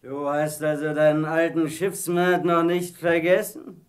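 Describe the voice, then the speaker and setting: An actor playing a sly pirate in an audio drama, mock-friendly and insinuating. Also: male voice, fairly high pitch, little pitch movement, measured pace, clean tone, natural voice. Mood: sly, taunting. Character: sly male pirate, needling an old shipmate. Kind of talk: German audio drama acting.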